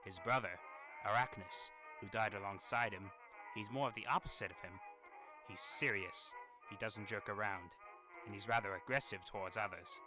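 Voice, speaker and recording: A man's voice: lowish voice, fairly even intonation, moderate pace, natural voice, low-quality recording. A man voicing a weary, irritable, sardonic demon hotel resident in a fan-made animation monologue, with grumbling, exasperated delivery. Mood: dry, disdainful. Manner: grumbling, exasperated, sighing asides. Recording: voice over old phonograph music.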